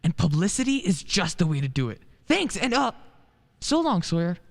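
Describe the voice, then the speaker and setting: Woman playing earnest, animated male lawyer. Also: lowish voice, normal speed, gravelly. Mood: cool, confident. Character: earnest, well-meaning male lawyer, loyal friend. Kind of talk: radio play acting. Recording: echoey hall.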